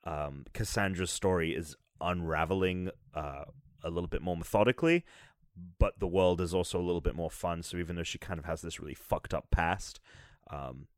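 A man with a very low voice chatting about games on a podcast. He rambles a little, restarting sentences as he goes. The sound is dry and close. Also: moderate pace, dry recording. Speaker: podcast co-host, game reviewer. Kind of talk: casual podcast chat.

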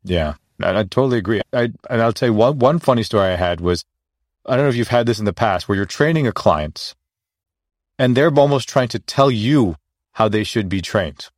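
A man's voice: fairly low pitch, animated, fairly quick, no echo, studio quality. A man hosting an interview, agreeing often and asking loose, rambling questions. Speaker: podcast host. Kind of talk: casual interview conversation.

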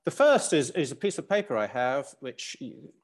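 A man's voice: fairly high pitch, very animated, normal speed. Reflective male interview guest. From Zambia. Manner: reflective, many fillers and restarts. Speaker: guest, business founder. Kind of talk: podcast interview.

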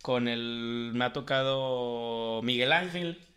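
Animated young man chatting. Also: medium pitch, slow. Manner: animated, acts out quoted voices, laughs often. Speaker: podcast co-host. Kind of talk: casual chat.

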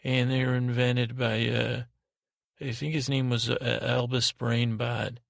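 A man hosting a podcast, delivering a rambling, whimsical bedtime monologue in a hesitant, deadpan way, often correcting himself and trailing off. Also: mid-range voice, measured pace, gravelly, no room sound. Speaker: podcast host.

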